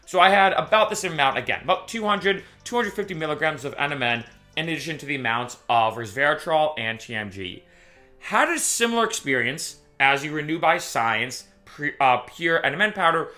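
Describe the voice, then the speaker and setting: A young man chats to camera in a casual vlog, his voice bright and a little high for a man. He talks in an animated way and keeps stopping to restart or correct himself. Faint music plays underneath. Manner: chatty, restarts and self-corrections, animated retelling. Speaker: YouTuber.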